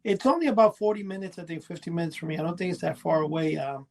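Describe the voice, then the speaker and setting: A man with a distinctly high voice talks as a podcast co-host. He explains things in a casual, meandering way, often backing up to correct his own words. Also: fast talker, gravelly. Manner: rambling, self-correcting.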